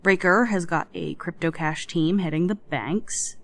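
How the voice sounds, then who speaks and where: An actress playing a wary, frustrated hacker collective coordinator in an audio drama, plain-spoken, hesitant and exasperated. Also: female voice, lowish voice, unhurried, creaky. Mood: wary, reporting.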